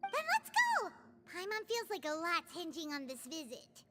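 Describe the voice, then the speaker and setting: A woman voicing a tiny childlike fairy girl companion in a video game, squeaky, eager and perky. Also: huge pitch swings, normal speed, pushed and bright, a little hiss or hum, full character voice. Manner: refers to self in third person.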